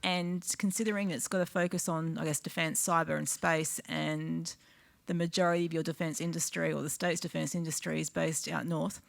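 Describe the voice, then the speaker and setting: Female audience questioner, low gravelly voice, careful and halting. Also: steady tone. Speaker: audience member asking a question.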